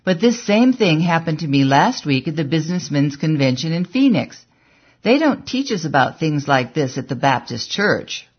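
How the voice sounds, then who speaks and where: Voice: medium pitch, big pitch swings, normal speed, breathy edge, muffled recording. A reader voicing an eager young man. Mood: bewildered.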